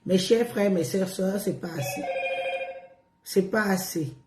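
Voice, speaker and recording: A hoarse woman chatting on a live stream, repeating herself and coaxing viewers along with drawn-out words. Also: medium pitch, reverberant, noisy background. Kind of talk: casual live stream chat.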